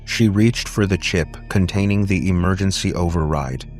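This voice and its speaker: A man reading a scripted story as a dramatic audiobook narrator, deliberate and suspenseful. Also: low-pitched, darkish tone, faint music behind.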